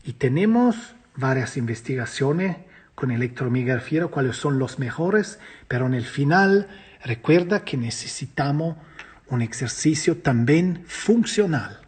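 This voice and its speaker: A man's voice: medium pitch, lively intonation, measured pace, slightly rough. A man teaching physiotherapy in Spanish, which is not his first language, who keeps asking and answering his own questions. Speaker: physiotherapy lecturer. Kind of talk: teaching a course, explaining slides.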